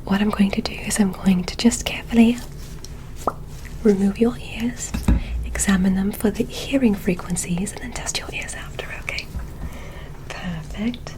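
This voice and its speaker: A woman doing an ASMR roleplay as an examiner, whispering breathily with gentle, careful, encouraging instructions. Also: mid-range voice, fairly even intonation, normal speed, poor audio. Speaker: ASMR performer role-playing an alien medical examiner.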